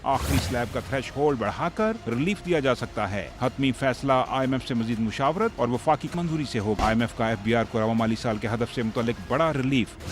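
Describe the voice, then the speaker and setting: A man with a Pakistani accent giving a scripted news report in voice-over as a reporter. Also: mid-range voice.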